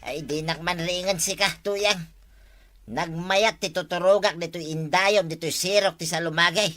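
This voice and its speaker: A man's voice: high voice, steady tone, normal speed, pushed and bright, faint music behind. A man with an Ilocano accent playing a grumbling, wheedling old villager in a broad comedy. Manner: complaining, insistent. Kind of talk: broad barangay comedy acting.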